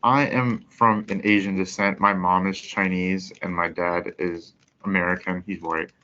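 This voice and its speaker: Teen boy student; deep, crisp-toned, matter-of-fact, lo-fi sound. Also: flat delivery, a little slow, sharp tone. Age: teens. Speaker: student guest. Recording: muffled lo-fi recording.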